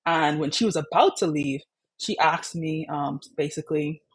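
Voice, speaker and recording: A woman's voice: low voice, moderate pace, breathy edge. A young woman from the Bahamas, candidly telling her own patient story in a chatty way. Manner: chatty, frequent "like" and "you know" fillers.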